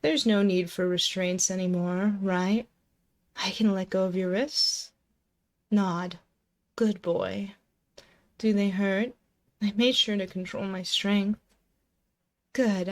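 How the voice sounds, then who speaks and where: A woman's voice: mid-range voice, steady tone, slow pace, pauses often. A woman playing a possessive, dominant and seductive female demon in an intimate, close-miked ASMR roleplay, commanding, teasing and doting. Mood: teasing, affectionate. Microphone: close binaural microphone.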